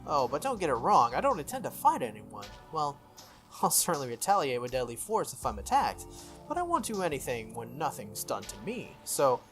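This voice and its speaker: Smug visual novel schoolboy played by a man, breezy and needling, very animated pitch, music faintly behind. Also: moderate pace. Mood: sly. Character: smug, falsely friendly teenage schoolboy schemer.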